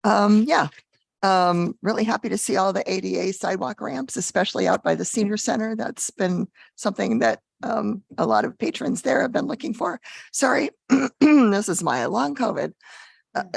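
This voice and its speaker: A woman on a budget committee with a hoarse voice, chatty and blunt as she asks questions at a public meeting. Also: mid-range voice, normal speed, no echo.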